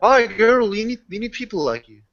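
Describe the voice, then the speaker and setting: A man playing a friendly, protective guy in a tabletop roleplay, talking in a halting, repetitive, familiar way. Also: high voice, lively intonation, fairly bright tone, dull audio, pitched above the performer's own voice, heavily acted. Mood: concerned, pleading.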